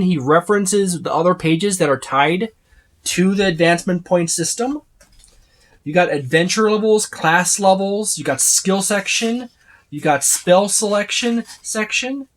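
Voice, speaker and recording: A reviewer talking casually and unscripted through a tabletop game book, rambling along and often listing items one after another. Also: mid-range voice. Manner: rambling, repeats and lists items.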